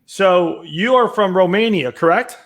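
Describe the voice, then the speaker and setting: A man's voice: sits high, measured pace, a bit bright. A man hosting an interview, upbeat and chatty with plenty of fillers. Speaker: host, real estate business owner.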